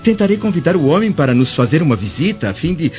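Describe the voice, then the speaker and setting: A man's voice: on the high side, very animated, fast, a little mellow. A man playing a proud Roman senator and anguished, doting father in a radio drama, with formal, emotional diction. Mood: anguished, reluctant. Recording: dull lo-fi audio over music.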